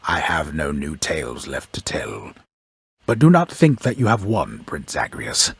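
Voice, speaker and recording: A man playing a grave, pompous storyteller in a mythic video game, narrating deliberately with wry, deadpan solemnity and a gravelly voice. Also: a bass voice, no echo, studio quality, exaggerated voice. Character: grave, pompous old storyteller narrating Greek myth, wryly ominous. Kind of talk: scripted dramatic storybook narration.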